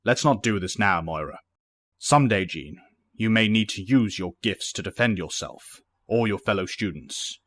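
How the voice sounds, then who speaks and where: Casting: male actor as calm fatherly mentor and headmaster, low voice, formal authority, dry close sound, audio drama. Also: dry recording. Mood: firm. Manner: measured, formal, gentle authority.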